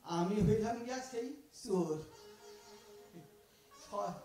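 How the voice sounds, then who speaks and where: A man's voice: high-pitched, slow, creaky, dark tone, exaggerated voice. A man acting an aging, cheeky thief in an audio drama, with an East Bengali dialect and a folksy, mock-polite, talkative manner. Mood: boastful. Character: aging male thief, cheeky, philosophizing, self-styled honest. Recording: echoey room, far from the mic.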